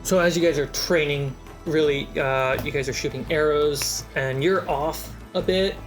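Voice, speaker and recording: A game master calmly describing scenes and actions in a tabletop game. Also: medium pitch, soft background music, poor audio. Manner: unhurried, descriptive narration.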